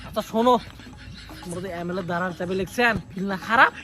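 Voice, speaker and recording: Comedy skit: male actor as cheeky political sidekick, high quick voice, rural North Bengal accent, teasing and coaxing. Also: bright, forceful tone, soft background music. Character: cheeky, sly male election aide to a village politician. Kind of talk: amateur village comedy skit acting.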